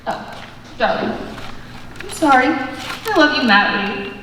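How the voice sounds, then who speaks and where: A woman's voice: high voice, big pitch swings, slow pace, pushed and bright, exaggerated voice. A young woman playing a flighty, haughty socialite in a stage comedy, with an affected, dramatic delivery. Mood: haughty, gushing. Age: young adult. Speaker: student stage actor. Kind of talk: theatrical stage comedy acting. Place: large indoor school auditorium, on stage. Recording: echoey auditorium, distant mic, clear noise.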